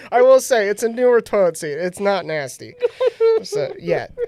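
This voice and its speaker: A man's voice: high-pitched, expressive, normal speed, a little mellow. A man hosting a casual radio talk show, sounding nasal after being sick, laughing often as he tells a story. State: nasal from recent illness. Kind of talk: casual chat.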